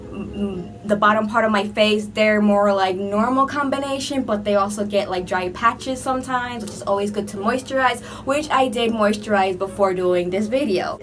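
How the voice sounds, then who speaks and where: A young woman talks through her makeup routine in a loose, rambling way, leaning on "like" as she goes. She sounds a touch under the weather from a cold, and her voice sits at an ordinary middle pitch. Background music plays clearly behind her in a room under filming lights. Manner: chatty and rambling, heavy use of "like". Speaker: beauty vlogger. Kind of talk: casual makeup tutorial chat.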